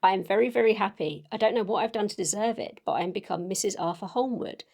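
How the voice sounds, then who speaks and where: A woman voicing an excitable young Victorian lady reading out her sentimental letter in a gushing, earnest way. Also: mid-range voice, fast talker, gravelly, voice pushed up. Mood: happy, gushing. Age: young adult.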